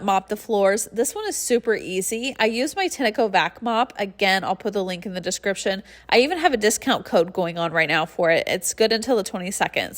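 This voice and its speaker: A congested young woman with a nasal, stuffy voice, chatting casually through a cleaning tutorial voice-over and breathing heavily. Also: medium pitch. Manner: friendly and chatty, with light laughs and heavy breathing. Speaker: cleaning channel host.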